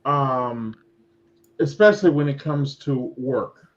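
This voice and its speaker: A man's voice: medium pitch, expressive, slow pace. A man in his forties, a guest on a casual group stream, rambling and stumbling over his words, with occasional swearing. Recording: dull lo-fi call audio.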